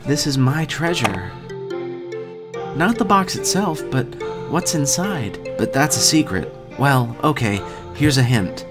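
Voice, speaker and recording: A woman voicing a timid, gentle, small teenage schoolboy in an anime fan dub, speaking in a meek, earnest way. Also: very deep voice, lively intonation, slow, full character voice. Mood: playful, secretive. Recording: background music under dialogue.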